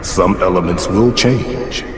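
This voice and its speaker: Low, echoing wise guardian spirit. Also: male voice, background noise. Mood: solemn warning. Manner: solemn, measured. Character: wise, grave old guardian mask spirit, fatherly. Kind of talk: video game character acting. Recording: echoey, long reverb.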